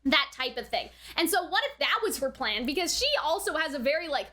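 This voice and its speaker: A woman's voice: high-pitched, expressive, a little fast, sharp tone. A woman hosting a reaction video, giving casual, speculative commentary in a chatty, informal way that often checks in with tag questions.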